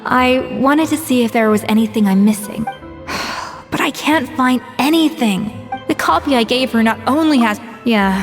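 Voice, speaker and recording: A woman's voice: a little high, big-room sound, lightly acted. A woman voicing a proud, determined, self-assured chef in a video game, speaking assertively. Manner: assertive, resolute. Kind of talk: animated video game voice acting.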